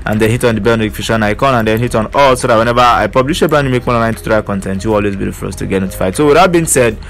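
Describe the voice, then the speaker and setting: A man's voice: lowish voice, racing. A man teaching an online earning method in a casual screen-share walkthrough, leaning on fillers like "basically" and "you know". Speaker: online money-making tutor.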